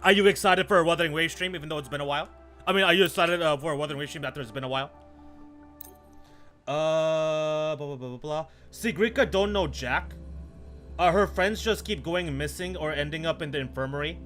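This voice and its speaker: High-pitched, bright-voiced man live streaming. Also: brisk, faint music behind. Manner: slangy, repeats himself, greets viewers mid-thought. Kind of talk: casual live stream chat and game commentary.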